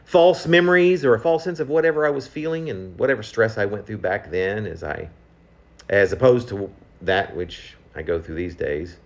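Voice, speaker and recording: A man's voice: on the low side, moderate pace. A man reading his own confessional poem aloud in a conversational, reflective and self-questioning manner. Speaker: poet reading own work.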